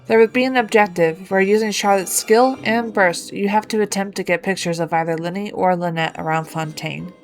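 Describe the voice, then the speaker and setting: A woman's voice: mid-range voice, brisk, hardly any pauses, faint music behind. A woman reading scripted narration as the storyteller of a fan-written game quest.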